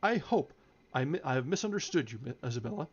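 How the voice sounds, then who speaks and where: A man voicing an imperious, sharp-tongued married woman from a novel, speaking in haughty, emphatic declamation. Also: on the high side, expressive, quick pace, breathy edge, dull audio. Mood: scornful disbelief.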